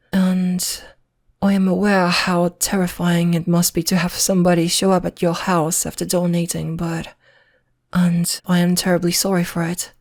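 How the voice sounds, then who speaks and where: Slow, low-voiced British vampire lady acted by a woman. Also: a little mellow. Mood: apologetic, polite. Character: refined, possessive, courteous centuries-old female vampire, lovestruck. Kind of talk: ASMR roleplay, intimate scripted monologue.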